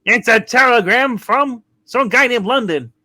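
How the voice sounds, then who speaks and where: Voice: medium pitch, unhurried, bright, forceful tone. A performer playing a boisterous, jokey small-town character in a hammed-up amateur radio play reading, with a clownish, exclamatory manner. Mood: loud, excited.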